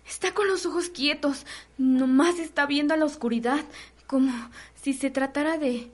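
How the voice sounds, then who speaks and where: A woman acting an excitable, superstitious housemaid in a radio soap opera, deferential and dramatic, with a Mexican accent. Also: sits high, moderate pace, natural voice. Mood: uneasy.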